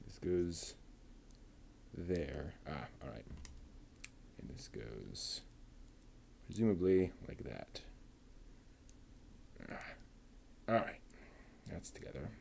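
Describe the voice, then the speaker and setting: A man talking himself through assembling a model kit, muttering and pausing between steps. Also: sits low, very animated, creaky, a little mellow, slight background noise. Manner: slow, halting, with many pauses. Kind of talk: casual build narration.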